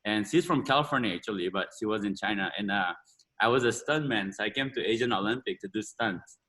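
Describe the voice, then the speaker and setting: Man, middle-aged, from South Asia, bright tone, slightly low voice, quick earnest talk. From India or Burma. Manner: quick, earnest, broken English with many "you know"s. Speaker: martial arts school owner. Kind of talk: casual interview talk.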